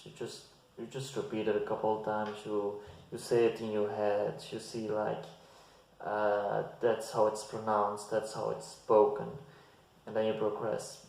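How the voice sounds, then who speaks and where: Slow, gravelly man in an echoey room. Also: fairly low pitch, darkish tone. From Belgium. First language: Dutch. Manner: slow, hesitant, with frequent restarts and fillers. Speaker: language-learning vlogger. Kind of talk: casual advice to camera. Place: bare indoor room. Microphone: fixed camera at desk distance.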